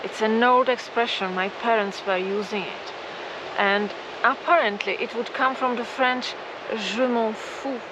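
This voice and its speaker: A Czech-accented woman speaks at a slow, careful pace, laying out phrases one by one as a teacher. She was filmed outdoors on a tripod camera in the cold. The rush of a nearby stream comes through clearly under her voice. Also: fairly high pitch, fairly even intonation. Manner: slow, thoughtful, unhurried. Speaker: language teacher. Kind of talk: explaining idioms on camera. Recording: outdoor take with loud stream noise.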